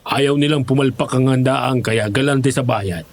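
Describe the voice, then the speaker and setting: A man's voice: mid-range voice, normal speed, natural voice. An actor playing a persuasive, glib male job recruiter with coaxing sales talk in a horror audio drama.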